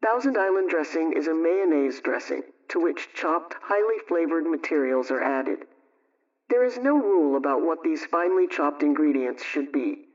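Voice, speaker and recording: A man presenting a scripted homemaking radio program, reading out advice in a measured, instructional way. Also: on the high side, lively intonation. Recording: muffled, distant radio sound.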